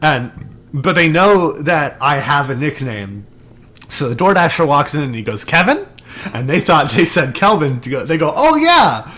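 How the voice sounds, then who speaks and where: Young male podcast co-host, slightly higher voice, loose rambling storyteller who restarts a lot. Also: very animated, normal speed, pushed and bright. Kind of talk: casual podcast chat.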